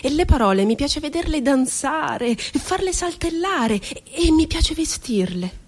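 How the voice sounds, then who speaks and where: A woman's voice: sits high, lively intonation, unhurried. An actress playing a wry, childlike, wounded woman poet confined in an asylum, in a lyrical theatrical monologue. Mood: playful, wry. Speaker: stage actress. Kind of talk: theatrical monologue for radio drama.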